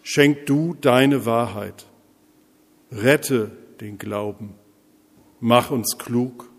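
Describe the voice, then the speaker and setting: A man leading intercessory prayer as a pastor, speaking solemnly in measured, deliberate phrases with pauses. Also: mid-range voice. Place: large reverberant church interior. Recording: echoey church hall, long reverb.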